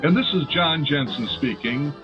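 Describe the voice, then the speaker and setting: Music behind, guy playing old radio announcer sidekick, genial and blunt, very animated, bit lo-fi. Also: a little high, normal speed, close to an everyday voice. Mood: formal, genial. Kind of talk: old-time radio announcing and comedy acting. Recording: slightly dull radio-style audio.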